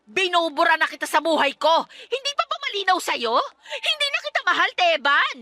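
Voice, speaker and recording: A combative estranged wife in a radio melodrama. Her voice is very high and bright, swinging widely in pitch. She is sarcastic and dismissive, a mother who will not back down. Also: very animated, normal speed, heavily acted. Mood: irritated, dismissive. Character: defiant, sharp-tongued estranged wife and mother who sells meat. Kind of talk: Tagalog radio drama acting.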